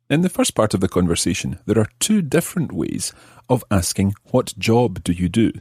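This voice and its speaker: A man presents a language lesson in a low, slightly husky voice. His intonation moves a lot as he explains things carefully, and the recording is dry and close. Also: a little mellow, no room sound. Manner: explanatory, carefully enunciated teaching. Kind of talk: scripted lesson narration.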